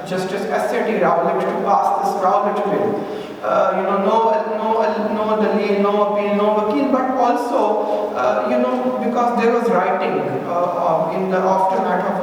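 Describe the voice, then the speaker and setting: A man with a Pakistani accent giving an impassioned public lecture, halting and emphatic, repeating phrases as he goes. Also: sits high. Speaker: speaker giving a public history talk. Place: echoey indoor hall. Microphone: distant microphone. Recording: echoey hall, distant mic, noisy.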